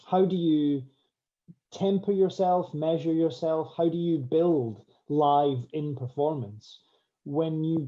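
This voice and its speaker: A man speaking as an actor in a post-show panel discussion, earnest, articulate and measured. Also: on the high side, dark tone. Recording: dull, lo-fi muffled audio.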